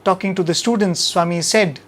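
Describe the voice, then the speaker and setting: A man with an Indian accent hosting a devotional satsang, speaking in a measured, emphatic way with rhetorical questions and repeated phrases. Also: sits high, a bit bright. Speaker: host of a devotional radio satsang. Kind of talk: spoken devotional talk to an audience.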